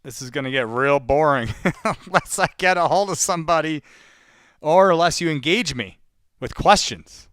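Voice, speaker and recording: A man's voice: a little high, animated, moderate pace, a bit bright, clean tone, no echo, clean studio sound. A man hosting a live calling session, talking informally and in a rambling way to his viewers between sales calls. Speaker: live sales-call host, real estate cold caller. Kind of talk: casual live-stream chat between phone calls.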